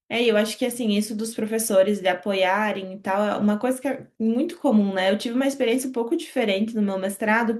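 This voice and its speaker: A Brazilian woman hosting an interview, chatting casually and sharing her own story. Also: mid-range voice. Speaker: host.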